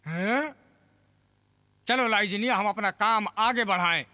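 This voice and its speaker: An actor voicing a bossy, distrustful, impatient inventor in a Hindi cartoon dub. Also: male voice, high-pitched, unhurried, bright, forceful tone, a little hiss or hum, exaggerated voice. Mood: stern. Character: bossy, distrustful male inventor giving orders to his assistant.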